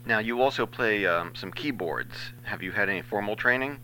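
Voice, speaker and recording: A man conducting an interview, asking brisk, polite questions. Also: lowish voice, expressive, bright, forceful tone, a little hiss or hum, poor audio. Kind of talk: radio-style interview.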